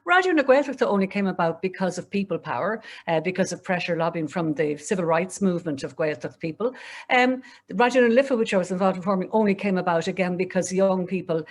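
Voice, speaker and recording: A woman's voice: fairly low pitch, animated, fast. A middle-aged Irish woman speaking earnestly as a panelist, with frequent restarts and fillers. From Ireland. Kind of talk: panel discussion.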